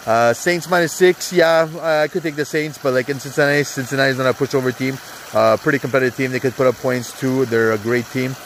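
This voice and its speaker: Congested man, mid-pitched, casual rambling sports picks vlog from an outdoor spa, faint background noise. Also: steady tone, fast, fairly bright tone. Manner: rambling, many fillers, hyped asides. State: congested, sore throat. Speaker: sports betting vlogger. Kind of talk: casual NFL picks rundown. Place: outdoor hot tub on a cold day.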